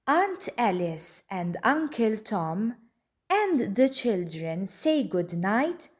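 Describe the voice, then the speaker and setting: A woman narrates a picture book for young children. She takes it very slowly, with plenty of lift and fall in her voice. Her delivery is deliberate and expressive, at a middle pitch. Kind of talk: read-aloud storybook narration.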